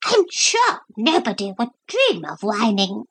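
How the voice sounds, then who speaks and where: A woman reading aloud gives voice to a fussy, self-pitying storybook dog who whines constantly. The voice sits high and swoops about with a husky edge. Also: huge pitch swings, measured pace, fairly bright tone, full character voice. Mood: fawning. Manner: whining, plaintive.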